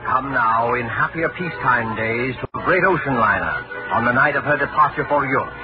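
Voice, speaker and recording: A man narrates an old radio drama in measured, vivid scene-setting lines. His voice is muffled by the old broadcast and music plays loudly beneath him. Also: medium pitch.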